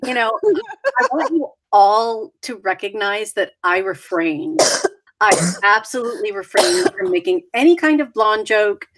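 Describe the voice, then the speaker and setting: A woman's voice: mid-range voice, poor audio. A woman co-hosting a casual chat in a playful way, joking and teasing. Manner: playful, slips into mock accents. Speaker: co-host.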